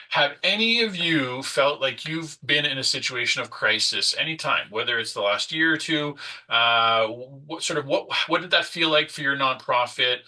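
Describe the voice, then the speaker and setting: A man's voice: fairly high pitch, pushed and bright, distant mic, rough recording. A man whose first language is French, presenting a nonprofit workshop in a conversational way, with frequent fillers and restarts. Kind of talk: online webinar presentation.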